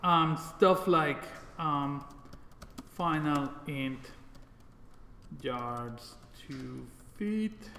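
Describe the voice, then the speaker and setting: A man from Mexico teaches informally in a classroom, his voice a little on the high side. He talks casually and often tags his points with a quick check for agreement. The room rings with echo and long reverb, with faint noise behind him. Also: gravelly, bright, forceful tone. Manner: casual, often seeks agreement with tag questions. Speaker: programming instructor. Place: indoor classroom.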